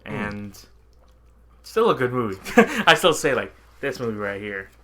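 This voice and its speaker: A man's voice: on the low side, expressive, a little slow, a bit bright. A man co-hosting a podcast, chatting in an informal, jokey way. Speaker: podcast co-host. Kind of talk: casual chat.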